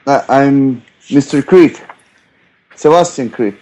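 A stammering, apologetic traveler who is lost on his way to a wedding, played by a man at a tabletop game. He talks slowly, trips over his words and laughs nervously. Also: mid-range voice, dark tone, close to an everyday voice. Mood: flustered. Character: hesitant lost young man arriving for a wedding. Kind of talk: playing a character in a tabletop game.

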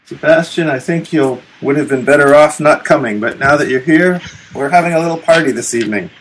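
A man's voice: on the high side, normal speed, slight background noise, poor audio. A man playing a tabletop game, making dry, amused remarks. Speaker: player. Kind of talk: casual tabletop game chat.

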